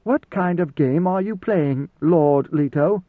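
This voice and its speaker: A man's voice: on the high side, slow pace, voice pushed up. A man voicing a proud male warrior who is blunt, wary and full of challenging questions, in an audiobook reading. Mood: resentful, challenging. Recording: slightly roomy, phone-like narrow sound.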